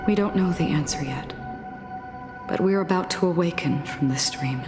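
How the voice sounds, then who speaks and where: A woman playing a calm, enigmatic guide of death in a game cutscene, speaking solemnly and softly with breathy murmurs. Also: fairly low pitch, over loud music, lightly acted. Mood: grave, resolute. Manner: solemn, measured, soft-spoken with breathy murmurs. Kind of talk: dramatic game cutscene acting.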